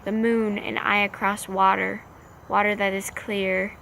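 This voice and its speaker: A woman recites slam poetry at an unhurried, slow pace. Her mid-pitched voice carries noticeable vocal fry, and she sets out each short phrase with measured care. Faint noise sits behind the recording. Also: level tone, fairly bright tone, poor audio. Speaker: slam poet.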